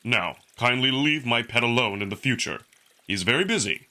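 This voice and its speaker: A man's voice: mid-range voice, expressive, normal speed, sharp tone. A man voicing a big, hot-tempered, protective male wolf alien in a visual novel, speaking in a stern, curt, indignant way. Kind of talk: visual novel character acting.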